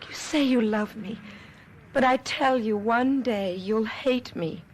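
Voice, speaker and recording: Guarded ageless young beauty, lightly high pitch, fatalistic, theatrical radio drama delivery, slightly hissy. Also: female voice, slow, low-quality recording. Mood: anguished, foreboding. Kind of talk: 1970s radio drama acting.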